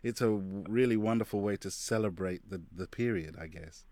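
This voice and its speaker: A man with a lowish voice presents a radio show, introducing the music and chatting with his guest. He sounds friendly and plain, recorded close and dry. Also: moderate pace, darkish tone, no echo. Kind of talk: radio interview.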